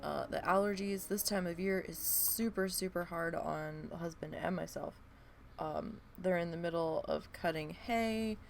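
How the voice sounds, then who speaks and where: A woman's voice: fairly low pitch, unhurried, vocal fry, slight background noise. A woman streaming a game and chatting casually to viewers, her voice stuffy and nasal from allergies, rambling with many ums. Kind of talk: casual live-stream chat.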